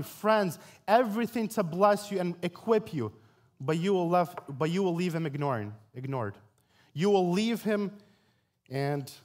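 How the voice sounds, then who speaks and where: A young man preaching a sermon in an earnest, deliberate way, addressing his listeners directly. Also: high-pitched. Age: young adult. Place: church stage at a pulpit, before a congregation.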